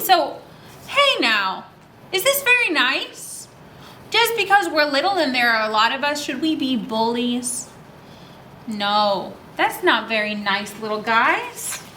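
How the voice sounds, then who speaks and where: A bright, high woman's voice presents a picture book to young children. She is expressive and unhurried, guiding them with simple questions. The room adds a noticeable echo. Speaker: children's library story time host. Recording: roomy echo, faint background noise.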